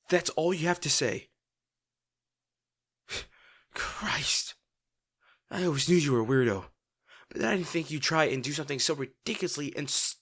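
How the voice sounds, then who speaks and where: Man acting a husky, volatile teenage bully boy. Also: a little high, fairly bright tone, exaggerated voice. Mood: alarmed, angry. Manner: gruff, swearing, sighing, halting when upset. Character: brash teenage bully boy, abrasive but secretly caring. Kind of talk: dramatic audio roleplay addressed to the listener.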